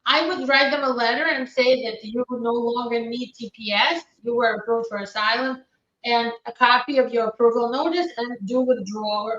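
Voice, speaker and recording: Woman lawyer from ukraine reading chat questions on a livestream, kinda high voice, sounds far off and echoey. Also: a bit bright. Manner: brisk, matter-of-fact answers with frequent fillers. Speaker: immigration attorney hosting a live Q&A. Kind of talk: live-stream Q&A, reading viewer questions aloud. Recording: roomy, distant, dull live-stream audio.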